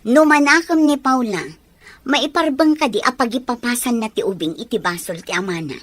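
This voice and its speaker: A woman's voice: fairly high pitch, moderate pace, no echo, natural voice. A woman playing a chatty, pitying villager.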